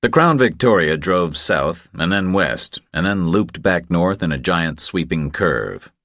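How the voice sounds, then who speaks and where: A man's voice: sits low, animated, unhurried, a bit bright, dry recording, clean studio sound. A man narrating a thriller audiobook from a script.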